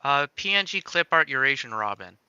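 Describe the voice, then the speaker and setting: A man hosts a casual software tutorial stream with a very bright, clean voice. He thinks aloud as he goes from step to step in a playful way. The sound is dry, with hardly any room in it. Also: mid-range voice, very animated, a little fast, smooth, dry recording, muffled recording. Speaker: livestream host demonstrating game-making software.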